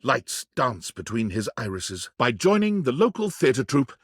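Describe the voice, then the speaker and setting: A man narrating a supernatural video game in the second person, with measured, theatrical scripted delivery. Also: mid-range voice, very animated, slightly rough, no echo, clean studio sound.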